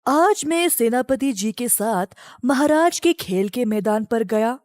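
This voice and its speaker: A woman's voice: fairly high pitch, lively intonation, slow pace, darkish tone, no echo, studio quality. A woman voicing an arrogant male war horse in a children's animal story. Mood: boastful, mocking.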